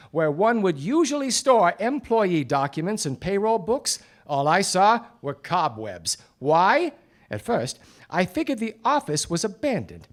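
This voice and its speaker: An actor playing a slow-talking, dry 1940s radio detective. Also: medium pitch. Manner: measured, dry, theatrical explaining. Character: shrewd, courteous 1940s hard-boiled private detective. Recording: live stage recording.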